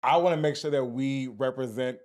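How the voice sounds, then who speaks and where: A young man co-hosting a podcast, chatting casually and animatedly, laughing often and teasing his friends. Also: medium pitch. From Texas. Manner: animated, laughing, quick to tease. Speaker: podcast co-host. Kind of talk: casual chat.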